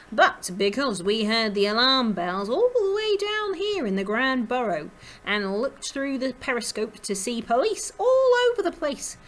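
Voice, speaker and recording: A woman's voice: a little high, a bit bright, voice pushed up, low-quality recording. A woman voicing a stern, agitated female jackrabbit officer, speaking with accusing emphasis in a storybook reading.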